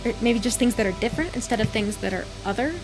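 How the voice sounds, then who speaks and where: A woman's voice: fairly high pitch, fast, music in the background, poor audio. A woman streamer giving casual commentary on a game, thinking aloud and rambling with restarts. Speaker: let's play streamer.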